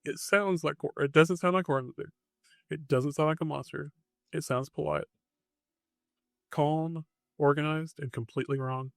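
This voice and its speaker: A man's voice: a little high, fairly even intonation, a little slow, creaky, long pauses, dry recording. Man narrating suspensefully, very dry close sound. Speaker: podcast host. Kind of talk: scripted podcast narration.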